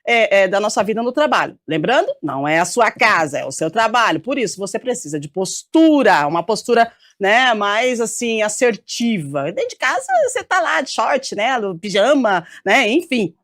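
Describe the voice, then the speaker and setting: A woman's voice: medium pitch, big pitch swings, normal speed, few pauses, fairly bright tone. A woman hosting a studio talk show, enthusiastic and affirming as she moves between topics and guests. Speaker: TV host.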